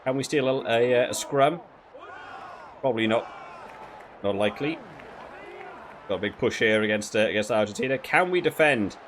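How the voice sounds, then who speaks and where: Male gaming creator, mid-pitched, casual rambling play-by-play, faint ambient noise. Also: lively intonation, moderate pace, pauses often, a faint crowd. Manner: rambling, thinking aloud with frequent hedging. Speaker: gaming video creator reviewing a rugby game. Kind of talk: casual gameplay commentary.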